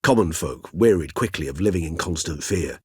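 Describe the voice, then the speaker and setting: An erudite, world-weary vampire gentleman from a fantasy video game. His voice is low and very clean, recorded close and dry. He speaks formally and eloquently, weighing his words with reflective, gentle gravity. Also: lively intonation, husky, no room sound, full character voice. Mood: scholarly, reflective. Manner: formal, eloquent, measured with thoughtful pauses. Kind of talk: video game character dialogue.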